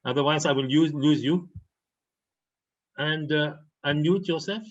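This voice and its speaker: A man as meeting organizer giving brisk technical instructions over a video call. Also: fairly high pitch. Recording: dull distant video call audio.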